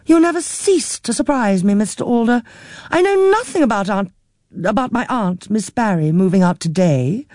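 A woman's voice: medium pitch, animated, moderate pace, a little mellow, no echo, clean studio sound, pitched above the performer's own voice, close to an everyday voice. A man voicing a cheerful, patient woman in her fifties, speaking politely in a storybook reading. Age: 50s. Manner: polite, coaxing. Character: patient, cheerful middle-aged niece.

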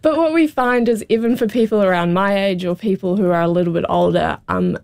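Gravelly-voiced New Zealand woman researcher. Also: mid-range voice, darkish tone, no echo. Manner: measured and explanatory, with hedging fillers. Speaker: linguistics researcher. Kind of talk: explanatory interview answers.

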